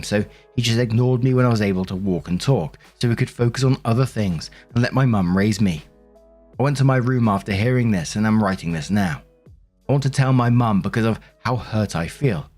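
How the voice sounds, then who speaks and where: A man's voice: lowish voice, a little mellow. A man reading online stories aloud and commenting casually in an earnest, sympathetic manner. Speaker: host narrator of a Reddit stories channel. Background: background music.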